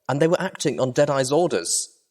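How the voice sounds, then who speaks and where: A man's voice: fairly high pitch, very animated, a little fast, darkish tone, pitched above the performer's own voice. A man voicing a small young female pony, an earnest and determined adventurer who speaks emphatically and pleadingly. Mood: grim.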